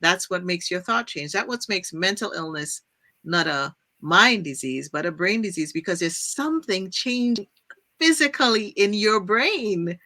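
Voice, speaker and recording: A woman's voice: lowish voice, expressive, unhurried, bright, forceful tone. A woman from Trinidad hosting a faith-based talk show, speaking earnestly and thinking aloud. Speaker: podcast host. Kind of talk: casual faith-based conversation.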